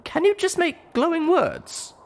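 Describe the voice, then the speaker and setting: A man's voice: extremely high pitch, very animated, a little slow, pitched above the performer's own voice, heavily acted. A man voicing an earnest, wry young female pony heroine in a storybook reading, plucky and informal.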